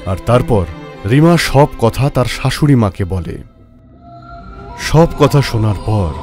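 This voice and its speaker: A man narrating a scripted horror story in a dramatic storytelling style. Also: fairly low pitch. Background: music.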